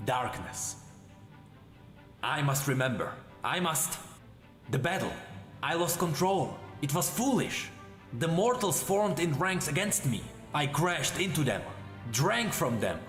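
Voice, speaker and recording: A man voicing an ancient, anguished and ravenous warrior spirit trapped in a blade, in halting, dramatic phrases. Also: a little high, fairly bright tone, roomy sound. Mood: brooding, desperate.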